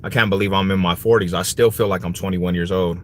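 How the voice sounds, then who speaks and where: A man's voice: low voice, fairly even intonation, quick pace. A man in his forties chatting casually on a livestream, slangy and prone to repeating his phrases as he replies to viewers. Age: 40s. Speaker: livestream host. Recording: noticeable background noise.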